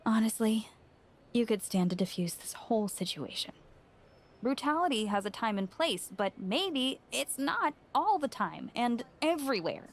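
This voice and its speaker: Candid, sarcastic friend. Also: female voice, a little high, sing-song, unhurried, full character voice. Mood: earnest advice. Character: sarcastic, candid woman, wrestler's estranged friend. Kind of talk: video game cutscene acting.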